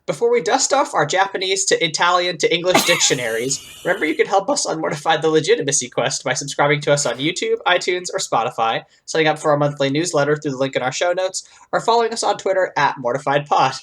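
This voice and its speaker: Animated, low-pitched woman podcast host. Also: fast talker, hardly any pauses. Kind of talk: casual comedic podcast chat.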